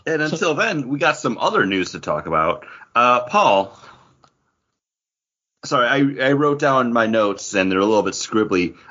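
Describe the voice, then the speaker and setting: A male podcast host with a husky, fairly low voice that moves around in pitch. He chats casually and steers the conversation, on slightly muffled audio. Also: very animated, moderate pace, sharp tone. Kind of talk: casual pop culture podcast banter.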